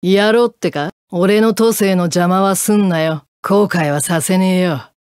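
A woman's voice: lowish voice, unhurried, a little mellow, clear, resonant tone, no echo, clean studio sound, close to an everyday voice. A woman voicing a brash, battle-hungry swordswoman in a fighting game, with coarse, mannish, taunting speech. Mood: cocky, menacing. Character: brash, rough-talking one-eyed swordswoman, battle-hungry and mocking. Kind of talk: Japanese fighting game voice acting.

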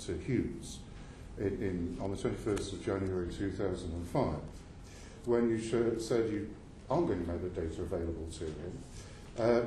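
A man's voice: on the low side, mellow. A man questioning a witness at a parliamentary committee hearing, pressing and insistent, stumbling and restarting his sentences. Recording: roomy hall sound with faint noise.

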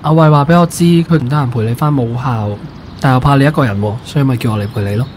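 Actor as quick-talking devoted young Hong Kong man. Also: mid-range voice, darkish tone, slight background noise, natural voice. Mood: attentive. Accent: Hong Kong Cantonese. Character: devoted, impatient young boyfriend, a pianist. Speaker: radio drama actor. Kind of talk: radio drama acting.

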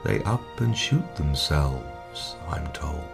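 A man giving a dramatic poetry recitation in a measured, expressive way, with hushed line endings. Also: rumbling low, gravelly. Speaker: poetry reader. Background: music.

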